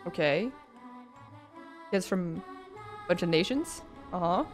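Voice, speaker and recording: A woman's voice: fairly low pitch, expressive, slow. Gushing, sweary woman streamer over music. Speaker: vtuber streamer. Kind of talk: casual, excited reaction commentary. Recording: music behind the voice. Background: game trailer music.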